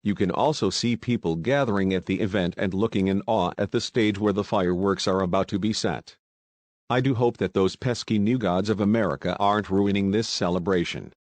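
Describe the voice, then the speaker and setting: A man plays a male television field reporter on location at a holiday event. His voice is low and recorded close and dry, in the manner of a homemade live news report. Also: fairly quick, no echo, natural voice. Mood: reporting, mildly wary.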